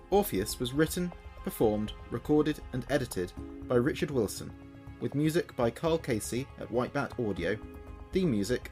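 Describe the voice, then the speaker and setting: A man's voice: medium pitch, a little mellow. A man presenting a podcast in a scripted credits announcement.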